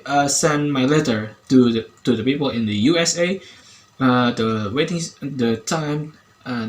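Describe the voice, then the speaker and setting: A man with an Indonesian accent giving a casual review, speaking haltingly and often rephrasing as he searches for words. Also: mid-range voice. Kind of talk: casual app review to camera.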